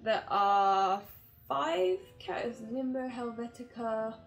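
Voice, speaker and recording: High-voiced young woman chatting. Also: animated, slow, vocal fry, low-quality recording. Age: 20s. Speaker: game reviewer. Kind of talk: casual chatty video review to camera. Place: small bedroom, indoors. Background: background music.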